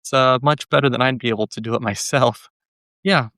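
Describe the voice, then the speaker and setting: A man's voice: medium pitch, brisk, fairly bright tone, no room sound, clean studio sound. A man giving a casual, informal book talk as presenter, hesitant and often repeating words and phrases. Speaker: presenter reviewing a novel.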